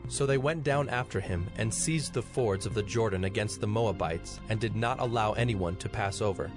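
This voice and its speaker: A man narrating scripture in a solemn, measured storytelling manner. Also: on the low side. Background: music.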